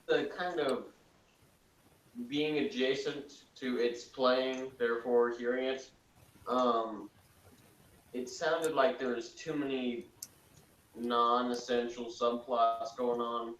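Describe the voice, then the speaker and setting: A man's voice: medium pitch, steady tone, slow, pauses often, fairly bright tone. A man giving casual critical commentary as a panelist, blunt and dismissive in his manner. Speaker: podcast panelist. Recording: echoey room, far from mic.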